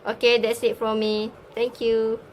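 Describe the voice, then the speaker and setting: A woman's voice: fairly high pitch, monotone, unhurried, a little mellow, slight background noise. A young woman acting as a student, presenting and answering in class.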